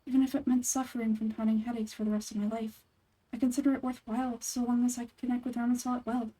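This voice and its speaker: A woman's voice: on the high side, level tone, quick pace, dark tone, lightly acted, poor audio. A woman voicing an earnest, scholarly young woman researcher in game dialogue, speaking formally and articulately. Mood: earnest, wistful. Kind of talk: video game dialogue read-through.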